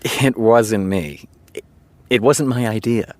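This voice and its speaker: An actor playing a candid, wry TV showrunner, talking conversationally and pausing for effect in a naturalistic audio drama. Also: male voice, lowish voice, over music. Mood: rueful, confiding. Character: candid male TV showrunner, wry and self-deprecating. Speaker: television writer character.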